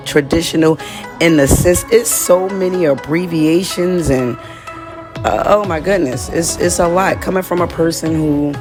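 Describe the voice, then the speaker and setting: A woman from the United States with a raspy, slightly hoarse voice, talking straight to her viewers in a casual diary-style monologue. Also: lowish voice. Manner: chatty, direct, addresses viewers as y'all. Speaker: vlogger.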